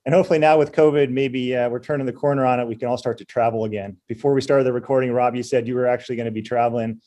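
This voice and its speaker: Relaxed middle-aged man hosting a webinar. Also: mid-range voice, rapid-fire. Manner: relaxed, chatty, many fillers. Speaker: webinar host. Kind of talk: casual business webinar. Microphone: video call.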